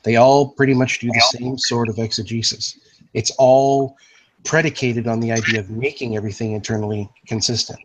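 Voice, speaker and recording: Husky man explaining philosophy. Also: mid-range voice, fairly even intonation, brisk, low-quality recording. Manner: explains in long philosophical sentences. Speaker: moderator. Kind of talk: casual voice-chat discussion. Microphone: online voice chat.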